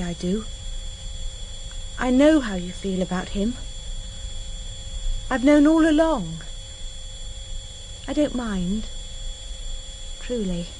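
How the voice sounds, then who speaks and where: A woman's voice: a little high, lively intonation, slow, long pauses, darkish tone. An actress playing a naive, earnest and mischievous woman in a British radio drama. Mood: earnest, reassuring. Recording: noisy vintage radio recording.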